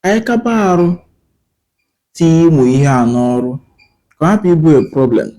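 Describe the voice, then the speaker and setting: A man's voice: on the high side, big pitch swings, dark tone. A man with a Nigerian Igbo accent, teaching as a language host, speaking earnestly, code-switching between English and Igbo and checking in with rhetorical questions. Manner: rhetorical questions and pauses with fillers. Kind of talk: talking to camera about language.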